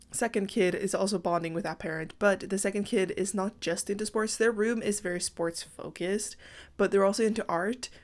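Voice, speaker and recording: A young woman with a congested, slightly raspy morning voice, rambling casually through a voice-over about a house she built in a game. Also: mid-range voice. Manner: rambling, self-correcting, apologetic. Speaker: game building creator.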